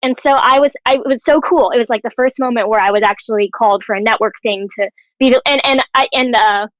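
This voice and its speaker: A woman's voice: a little high, quick pace, no room sound. A woman, an actor, chatting casually on a panel, recorded with slightly lo-fi sound. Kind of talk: casual chat.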